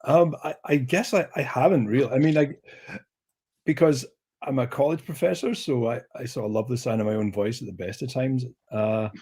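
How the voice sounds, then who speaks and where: A man's voice: medium pitch, normal speed, husky. A man chatting as a guest on a video call, telling stories in a big, projecting voice with self-mocking humor. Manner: self-mocking, animated storyteller. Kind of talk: casual chat.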